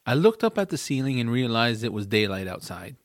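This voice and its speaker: A man narrates a family fantasy tale in the first person, as a scripted audiobook. His voice sits at a middle pitch, and the recording is close and dry. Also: sing-song, fairly quick, dry recording.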